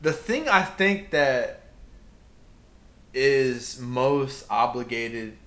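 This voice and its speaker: A young man co-hosts a laid-back podcast and talks in a bright, cutting tone. He gets worked up as he goes, rambling through his point and then punching it home with sudden emphatic bursts. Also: mid-range voice, lively intonation, very slow. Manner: impassioned ranting with emphatic outbursts. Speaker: podcast co-host. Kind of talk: casual podcast rant.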